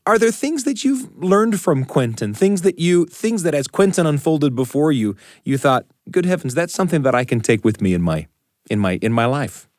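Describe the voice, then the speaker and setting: A man hosting a story podcast, asking questions and giving introductions in a polished, expressive presenter's style. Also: fairly high pitch, normal speed, no echo, clean studio sound. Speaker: podcast host. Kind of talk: radio interview and scripted introductions.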